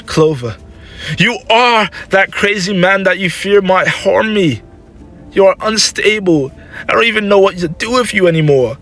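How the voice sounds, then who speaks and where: A man's voice: medium pitch, moderate pace, fairly bright tone, breathy edge, faint music behind. A man voicing an anguished, scolding mother of a grown warrior in an emotional, scornful manner for a dramatic story. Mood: distressed.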